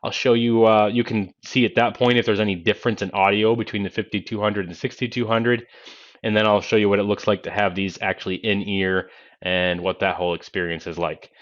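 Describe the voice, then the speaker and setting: Low-voiced man, dull headset sound. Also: fairly even intonation, brisk. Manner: explanatory, step-by-step. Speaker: presenter of product review videos. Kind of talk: casual unboxing and product demo. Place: small indoor office. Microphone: Bluetooth headset microphone.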